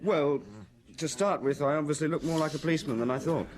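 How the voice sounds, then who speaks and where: A man's voice: a little high, steady tone, fast, close to an everyday voice. An actor playing a dutiful male police detective in a radio drama, giving a matter-of-fact, conversational report to his chief. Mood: sheepish. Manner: conversational, matter-of-fact, mimics quoted speakers.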